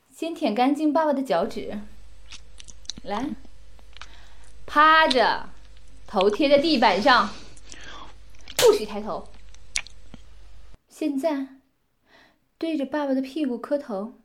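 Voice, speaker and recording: A woman playing a domineering, scornful mistress who gives commands and taunts, sometimes dropping to breathy whispers. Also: sits high, animated, slow, pauses often, fairly bright tone, a little hiss or hum, heavily acted. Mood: commanding. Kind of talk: roleplay audio drama.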